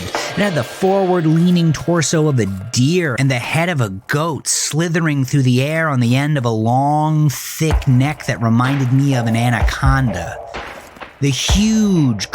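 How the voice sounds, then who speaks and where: A man acting as a haunted, rage-filled narrator, telling a first-person horror story in an intense, brooding, deliberate way. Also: medium pitch. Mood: tense, disgusted. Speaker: narrator of a horror audio drama. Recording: music bed under the voice.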